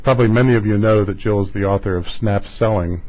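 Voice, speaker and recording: A man's voice: on the low side, quick pace, dark tone, low-quality recording. A man hosting a conversational interview, talking in long, wandering questions. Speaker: interview show host.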